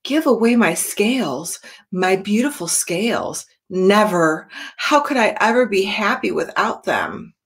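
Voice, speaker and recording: A woman's voice: medium pitch, expressive, unhurried, fairly bright tone, breathy edge, exaggerated voice. A woman voicing a proud, vain male fish who speaks in a haughty, raised voice. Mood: stubborn.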